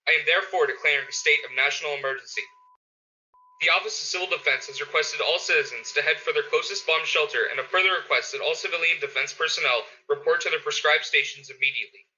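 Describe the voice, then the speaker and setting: A man's voice: on the high side, fast, bright, forceful tone, slight background noise. A man playing an urgent radio announcer who reads an emergency bulletin in a formal, clipped way. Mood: urgent, grave. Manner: formal, clipped, repeating key orders. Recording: tinny phone-like radio sound.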